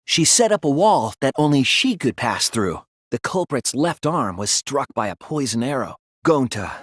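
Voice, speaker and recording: A man playing an earnest, analytical young male detective in an English video game dub, reasoning deliberately and articulately. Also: medium pitch, fairly bright tone, slightly rough, no echo, studio quality. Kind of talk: English game dub, dramatic courtroom deduction.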